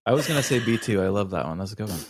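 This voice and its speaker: Animated man, close dry sound. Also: lowish voice, very fast, no echo. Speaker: podcast co-host. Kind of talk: casual comedic chat.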